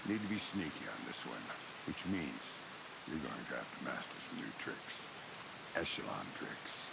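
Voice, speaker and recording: Loud hiss and rain sit over a grizzled, commanding special agent. His voice is extremely low and full of gravel. He talks in terse, determined game-cutscene lines. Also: very animated, moderate pace, exaggerated voice. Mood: briefing, focused. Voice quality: very deep, gravelly. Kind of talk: video game cutscene acting.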